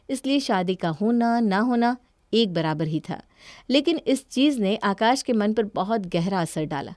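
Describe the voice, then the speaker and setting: A woman's voice: a little high, darkish tone, dry recording, clean studio sound. A woman reading scripted narration as a storyteller.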